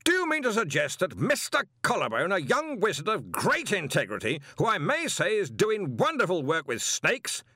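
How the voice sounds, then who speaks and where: A blustering, short-tempered old wizard who runs his college and roars his orders, as a man reads him. His voice climbs high and swings widely in loud bursts. It is close and dry. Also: wildly swooping pitch, moderate pace, few pauses, breathy edge, no echo, full character voice. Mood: roaring. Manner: roaring, imperious. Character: blustering, hot-tempered older male wizard head of college.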